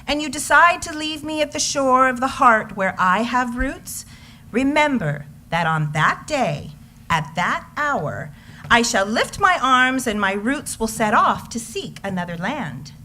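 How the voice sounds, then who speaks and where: A woman with a fairly high voice recites poetry very slowly and expressively. She lingers over her phrases like a stage reader. There is faint noise in the live recording. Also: sing-song, sharp tone. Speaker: reader in an amateur readers theater.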